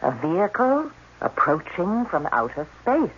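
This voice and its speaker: An actress playing a guarded alien doctor, speaking in a formal, precise manner in a 1980s radio drama. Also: female voice, on the low side, big pitch swings, a little slow, breathy edge, heavily acted. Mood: grave, formal. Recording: dull, noisy old radio broadcast.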